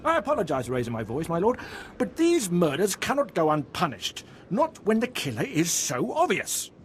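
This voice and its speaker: Indignant, high-voiced Imperial citizen. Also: male voice, wildly swooping pitch, normal speed, a little hiss or hum. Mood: indignant accusation. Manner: indignant, declamatory. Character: outraged, self-righteous, bigoted male Imperial citizen. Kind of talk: dramatic video game acting.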